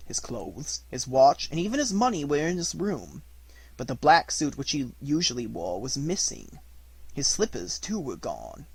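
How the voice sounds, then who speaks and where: A man with a husky voice giving an expressive, scripted reading of a classic detective story as an amateur audiobook narrator. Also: medium pitch, no room sound, rough recording.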